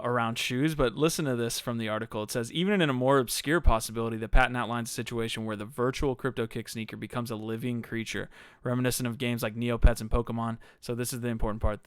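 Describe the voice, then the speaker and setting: Smooth-voiced man hosting, dry close sound. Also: mid-range voice, no echo. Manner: upbeat, chatty, filler "like". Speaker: podcast host. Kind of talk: casual panel discussion.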